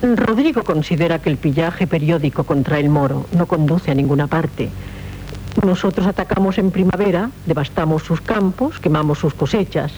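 Actress as regal princess, low mellow voice. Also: female voice, moderate pace, lightly acted. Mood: earnest. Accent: Castilian Spanish. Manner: regal, assured, insistent. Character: imperious, gracious young royal princess of Castile. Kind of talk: 1970s radio drama acting. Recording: dull, noisy 1970s radio recording.

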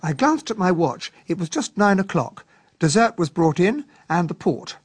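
Lively genteel English gentleman narrator. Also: sits high, normal speed, breathy edge, exaggerated voice. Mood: genteel, observant. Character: gentlemanly English captain recounting a detective case. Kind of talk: narrated radio mystery drama.

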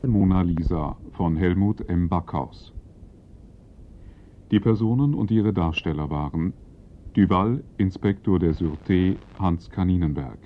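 Deep, measured male radio announcer. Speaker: radio announcer reading cast credits. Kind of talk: formal scripted announcement. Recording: slightly dull radio recording.

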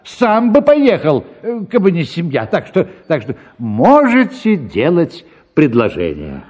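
A man's voice: a little high, wildly swooping pitch, a little slow, darkish tone, lo-fi sound. An actor playing a pushy, cajoling middle-aged father, with exclamatory, theatrical delivery in a radio play. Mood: persuasive. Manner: expansive, cajoling, exclamatory, repeats words. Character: pushy, wheedling father bent on marrying off his daughter. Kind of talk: theatrical radio performance of a classic Russian story.

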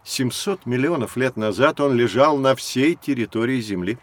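Guy playing patient science teacher in a kids audio drama, measured explaining, no room sound at all. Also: mid-range voice, no room sound, natural voice. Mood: measured, explanatory. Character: patient male science teacher guiding two children. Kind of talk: children's educational audio drama narration.

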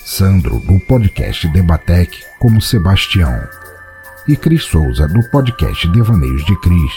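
A man's voice: deep voice, big pitch swings, measured pace, over music. A man with a Brazilian accent narrating a dramatic scripted audio story.

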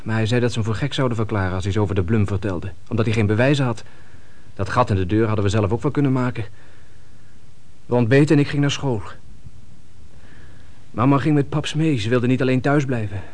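An actor playing a schoolboy son in a radio drama, telling of strange events in a plain, matter-of-fact way. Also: male voice, mid-range voice, a little fast, pauses often, a little mellow, close to an everyday voice. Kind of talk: radio drama acting and narration.

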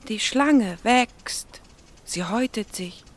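Soft, coaxing Slovak woman. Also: fairly high pitch, expressive, slow pace. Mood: encouraging, earnest. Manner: soft, coaxing, breaks into whispers. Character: gentle, encouraging female Slovak biologist, youngish and earnest. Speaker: actor in a radio drama. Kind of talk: naturalistic radio drama dialogue.

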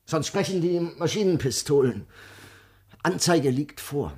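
An actor playing a menacing, jealous German army sergeant in a radio drama, barking threats with an Austrian-Bavarian accent. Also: male voice, a little high, animated, measured pace, a little mellow, husky, exaggerated voice. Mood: barking, threatening. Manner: barking orders, threatening, taunting.

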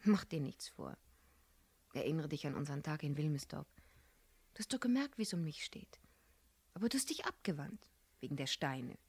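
An earnest young woman in a vintage radio thriller. Her voice is husky and somewhat low, recorded very dry. She speaks with intimate, insistent conviction. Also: animated, normal speed, long pauses, darkish tone, no echo, full character voice. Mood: earnest pleading. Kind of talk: German radio crime drama acting.

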